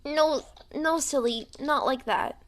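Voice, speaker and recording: A woman voicing an affectionate, teasing young female villain in a fan fiction reading, with a cooing, drawn-out delivery. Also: high voice, no echo, voice pushed up. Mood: tender.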